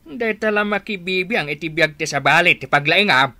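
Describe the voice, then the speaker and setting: A woman's voice: on the low side, animated, fairly quick, pushed and bright, dry recording. A woman playing a plain-spoken middle-aged villager in a radio drama, matter-of-fact and mildly chiding. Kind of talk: radio drama acting in rural family comedy.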